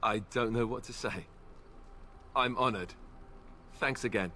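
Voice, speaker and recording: An actor voicing an earnest young warrior in a fantasy game, speaking hesitantly and with feeling. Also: male voice, medium pitch, unhurried, bright, forceful tone, slight background noise. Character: earnest, self-doubting young warrior man.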